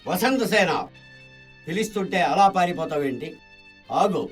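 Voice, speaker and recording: An actor playing a boastful, foolish, lecherous villain in a radio play, theatrical and insistent. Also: male voice, high-pitched, expressive, a little slow, recorded from a distance, soft background music. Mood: menacing pursuit. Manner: theatrical, self-important, insistent. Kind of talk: radio play acting in Telugu.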